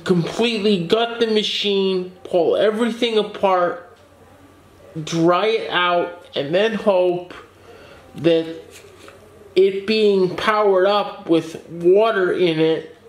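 A man with a fairly high voice talks slowly and steadily through a casual update from his computer shop. He explains each repair in plain terms, now and then restarting a sentence. His voice rings a little in an echoey small room. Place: small office workshop with desk, indoors.

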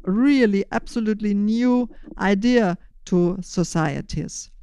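An older woman explaining a podcast in measured, deliberate, prepared phrases. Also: a little high, mellow, clean tone, no echo. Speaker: podcast host.

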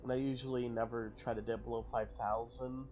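A man's voice: mid-range voice, flat delivery, a little fast, a little hiss or hum. A man casually commenting on his strategy game, thinking aloud and correcting himself as he goes. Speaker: gaming channel host. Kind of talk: casual let's-play commentary.

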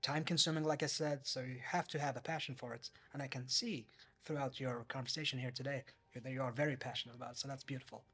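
A man's voice: medium pitch, fairly even intonation, quick pace, sharp tone, husky. A man in his thirties hosting a podcast interview in a studio, affirming the guest and finishing her sentences. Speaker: podcast host. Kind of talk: casual interview talk. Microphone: studio mic on stand.

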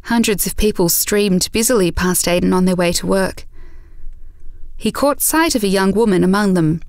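A woman narrating an audio drama from a script in a measured storytelling manner. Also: medium pitch, no echo, studio quality.

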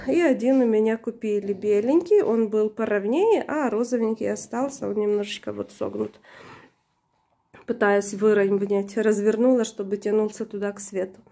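A woman narrating her own home video casually and hesitantly, slightly hoarse from being unwell. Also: medium pitch, moderate pace, mellow. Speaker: plant vlogger. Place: indoors, room in a flat. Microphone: handheld camera or phone, behind the camera.

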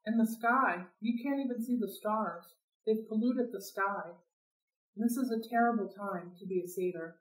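A woman voicing an earnest young male satyr, speaking slowly and plaintively, recorded in a roomy space from a distance. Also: fairly high pitch, lightly acted. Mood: sad. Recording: roomy sound, mic at a distance.

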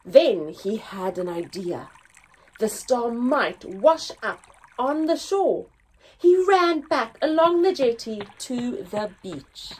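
A young woman reading a picture story aloud for small children, expressively, with deliberate pauses and emphasis and a slightly husky voice. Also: a little high, very animated, darkish tone, low-quality recording. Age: young adult. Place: indoors, small room with painted backdrop.

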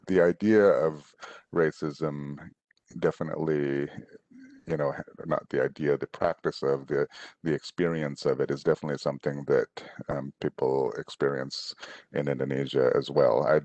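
Very deep-voiced middle-aged man. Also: a little mellow, dry recording, muffled recording. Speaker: guest author answering questions. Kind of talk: casual question-and-answer talk. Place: small room at home, indoors, on a video call. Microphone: headset on a video call.

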